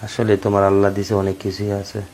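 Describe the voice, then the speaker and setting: A Bangladeshi man speaks in a quick, relaxed, low voice. He is chatting casually and flirtatiously over the phone, on a recording with some faint noise. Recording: noisy phone call recording.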